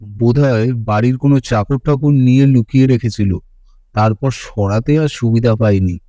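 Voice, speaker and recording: A man playing a gruff, dismissive police inspector in an audio drama, scornful and blunt. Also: mid-range voice, normal speed, dark tone, no echo, close to an everyday voice. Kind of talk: Bengali audio drama acting.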